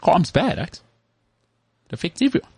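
Man, podcast co-host, jokey, husky voice, dry sound. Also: high voice, huge pitch swings, normal speed, darkish tone, no echo. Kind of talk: casual chat.

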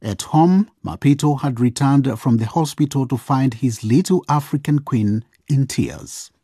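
A man's voice: medium pitch, a little slow, dark tone, breathy edge, no room sound, clean studio sound. A man reading scripted narration for a radio drama. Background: faint music.

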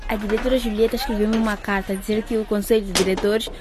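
A young actress playing a teenage girl in a radio drama who talks directly and lively with her mother. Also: mid-range voice, fast talker, background noise, close to an everyday voice. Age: teen.

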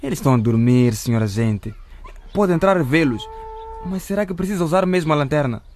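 An actor playing a friendly, crafty truck driver in a radio drama, speaking politely and placatingly. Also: male voice, a little high, expressive, a little fast, a little mellow, slight background noise, close to an everyday voice. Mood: polite, nervous.

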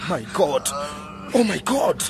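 Radio drama part: anxious go-between, high lively voice, stammered pleas, noticeable background noise. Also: male voice, takes it slowly, creaky, heavily acted. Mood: panicked. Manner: nervous, stammering repetitions. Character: anxious, pleading male peacemaker friend.

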